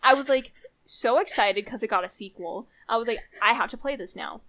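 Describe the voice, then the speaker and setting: A young woman co-hosting a casual chat, retelling things in an animated way with lots of "like" and quoted reactions. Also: fairly high pitch, fairly quick. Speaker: podcast co-host.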